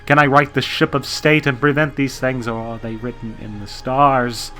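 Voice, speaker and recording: Man acting a distraught, quavering, high-pitched president. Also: level tone, moderate pace, sharp tone, faint music behind, close to an everyday voice. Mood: desperate pleading. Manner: quavering, stammering, fervent. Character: distraught, fanatically devout male president, easily overwhelmed. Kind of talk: melodramatic radio drama acting.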